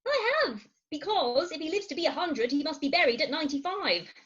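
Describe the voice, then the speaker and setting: A woman's voice: high voice, sing-song, a little fast, fairly bright tone, muffled recording. A woman playing a fretful, theatrical middle-aged Victorian wife in a stage farce, confiding her woes emphatically over a video call. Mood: woeful. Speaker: actor in an amateur staged reading.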